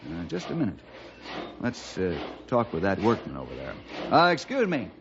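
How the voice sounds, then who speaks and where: A level-headed frontier lawman in an old radio western. He speaks in a measured, questioning way. The sound is dull, like a vintage broadcast. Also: fairly low pitch, wildly swooping pitch, vocal fry, background noise, exaggerated voice. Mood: thoughtful, quiet. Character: calm, inquisitive male western lawman hero.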